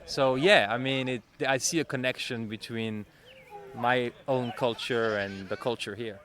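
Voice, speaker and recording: A man from Belgium with a Belgian French accent, a TV correspondent chatting in an interview with quick bursts and self-corrections. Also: mid-range voice, steady tone, fairly bright tone. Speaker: national TV correspondent. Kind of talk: casual interview answers. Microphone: handheld interviewer mic.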